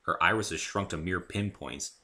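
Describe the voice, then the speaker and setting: A man giving an expressive first-person dramatic reading of scripted fiction as its narrator. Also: deep voice, moderate pace. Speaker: author reading own story.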